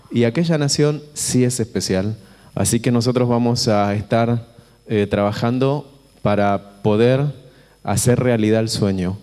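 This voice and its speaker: Male visiting preacher, Argentine accent, dark soft-edged tone, informal and halting, long auditorium reverb. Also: on the low side. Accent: Argentine Spanish. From Buenos Aires, Argentina. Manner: conversational, halting, repeats phrases and checks in with listeners. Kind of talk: informal sermon to a congregation. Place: church auditorium. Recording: reverberant hall with long echo.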